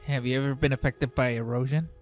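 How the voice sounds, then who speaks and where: A man's voice: medium pitch, fairly even intonation, fast, faint music behind. A man streaming a game, reading dialogue choices aloud into a close desktop microphone.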